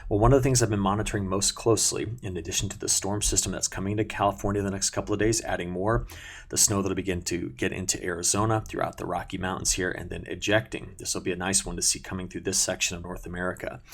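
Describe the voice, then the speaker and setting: Male forecaster, very low register with gravelly fry, conversational and explanatory, briefing viewers through weather maps. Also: flat delivery, fast. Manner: conversational, unscripted, pointing things out.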